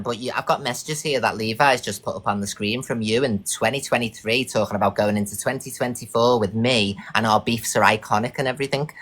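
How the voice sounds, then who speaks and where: A woman's voice: a bass voice, fairly bright tone. A woman in a casual but confrontational live chat, making her point insistently. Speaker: livestream participant.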